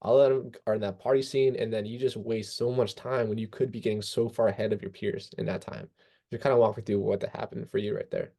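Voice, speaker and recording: Quick-talking, mellow man hosting. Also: lowish voice, fairly even intonation, creaky. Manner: affirming, informal, recaps before asking. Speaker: podcast interviewer, host. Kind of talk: casual conversational interviewing.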